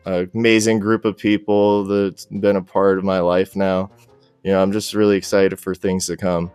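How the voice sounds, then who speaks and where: A man's voice: low voice, level tone, normal speed, darkish tone, clear, resonant tone, dry recording, soft background music. A man speaking as a podcast guest in casual interview chat, with a loose, rambling delivery.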